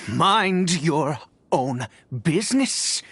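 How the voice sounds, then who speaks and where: A woman's voice: low-pitched, animated, drawn-out words, a bit bright, breathy edge, full character voice. A woman voicing a stern, suspicious, protective guardian in a video game, haughty and commanding. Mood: wary, grudging. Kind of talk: dramatic video game voice acting.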